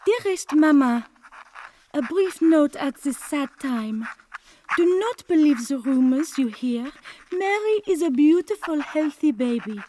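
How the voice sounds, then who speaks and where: A woman's voice: high-pitched, mellow, a little hiss or hum. An actress playing an affectionate noblewoman formally reading out her letter in a dramatised audiobook. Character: Marie of Guise, French noblewoman writing to her mother.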